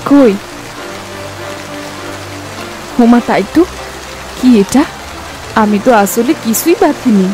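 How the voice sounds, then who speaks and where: A woman's voice: a little high, lively intonation, unhurried. A woman voicing a poor, wronged mother in a cartoon moral story, pleading and anguished. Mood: bewildered. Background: music.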